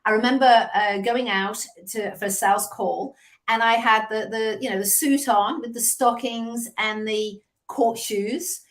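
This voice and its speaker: A woman's voice: on the high side, a little slow. Liverpool lady in her 50s, sales coach guest, chatty storyteller on a video call. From England. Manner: chatty storyteller, lively asides. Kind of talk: casual interview chat.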